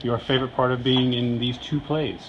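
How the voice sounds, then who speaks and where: A man's voice: mid-range voice, level tone, normal speed, dull audio, noisy background. A man conducting a casual interview, asking questions and offering short encouraging affirmations. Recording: busy room with people chattering.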